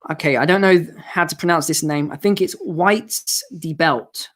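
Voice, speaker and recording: A man hosting a live finance stream and answering viewer questions, hoarse from losing his voice, explaining in a chatty way with asides. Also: a little high, moderate pace. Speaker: finance channel host.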